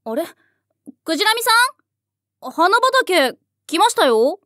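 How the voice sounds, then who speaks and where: A woman's voice: very high-pitched, sing-song, slow, sharp tone, no echo, clean studio sound, full character voice. A woman playing an oblivious, earnest and bubbly young female office worker with a cute, childlike way of talking in a voice drama. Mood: startled, skittish. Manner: earnest, refers to herself in third person. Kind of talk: Japanese voice drama, anime-like acting.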